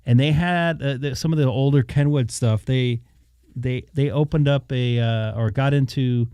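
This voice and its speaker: A man hosts a hobby live stream in a loose, rambling chat, often thinking aloud mid-sentence. His voice is mellow and dark. It is recorded dry and close on a handheld studio mic. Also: medium pitch, fairly even intonation, moderate pace, clean tone, no room sound. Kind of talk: casual chat. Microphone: dynamic studio mic held in hand.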